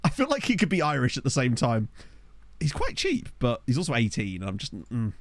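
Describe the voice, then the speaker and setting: A man's voice: medium pitch, huge pitch swings, fairly quick, no room sound. A man giving casual, rambling commentary as he streams a football management game, laughing and reacting aloud to the players he finds. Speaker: gaming streamer. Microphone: desk microphone.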